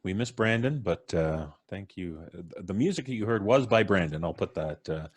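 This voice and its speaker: A man's voice: low voice, lively intonation, moderate pace, breathy edge, no room sound. A man hosting a live show, chatting casually and genially with his audience and colleagues. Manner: genial, appreciative.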